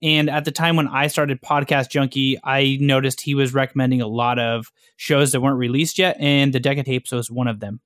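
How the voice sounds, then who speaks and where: A man's voice: mid-range voice, steady tone, a little fast, hardly any pauses, fairly bright tone, no echo, clean studio sound. A man being interviewed in a casual chat, explaining things in an informal, eager way. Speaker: podcaster and online community founder being interviewed.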